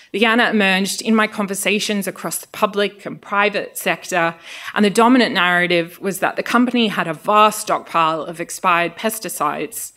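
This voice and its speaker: A woman with an Australian accent layered over her first language, Greek, delivers a measured, academic lecture. Her voice sits at an ordinary middle pitch, and she talks steadily with the odd "um" and self-correction. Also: a bit bright. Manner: measured, academic, with occasional fillers. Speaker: researcher giving a talk. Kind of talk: festival lecture, partly read. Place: lecture venue.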